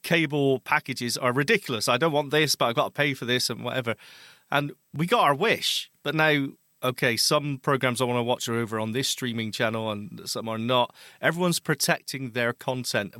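A man with a bright, forward voice and a middling pitch, chatting agreeably as a co-host and dropping in quick asides. The sound is close and dry on the microphone. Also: no echo. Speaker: podcast co-host. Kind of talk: casual chat.

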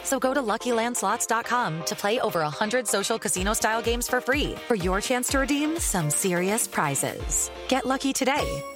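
A woman's voice: on the high side, lively intonation, moderate pace, hardly any pauses. A woman reading a scripted advert styled as a horoscope segment. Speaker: advert presenter. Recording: music bed under advert.

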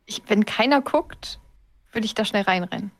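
A woman's voice: medium pitch, normal speed, dry recording, studio quality. A woman talking casually as a player in a tabletop role-playing game.

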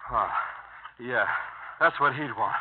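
An actor playing a slow, obedient, easily wounded brother with a halting manner in a 1950s radio drama. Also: male voice, mid-range voice, slightly rough. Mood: dazed, resolute. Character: slow, obedient brother, wounded and explosively angry when insulted. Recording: narrow old radio broadcast.

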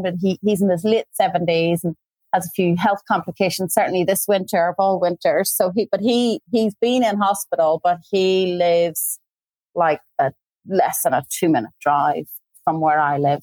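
A woman's voice: lowish voice, a little mellow, no echo, low-quality recording. A woman in her forties from Belfast with a Belfast accent, chatting at length as a podcast guest over a video call from home. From Northern Ireland. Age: 40s. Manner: chatty, talks at length with asides. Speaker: guest, tour company founder. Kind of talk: casual conversation.

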